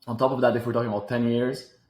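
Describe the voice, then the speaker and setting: A man's voice: mid-range voice, flat delivery, racing, darkish tone, reverberant. A man in his thirties from Switzerland, a native French speaker with a French accent, speaking as a guest in a casual interview. He talks thoughtfully and often repeats words while he searches for the next phrase. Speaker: guest, robotics company founder.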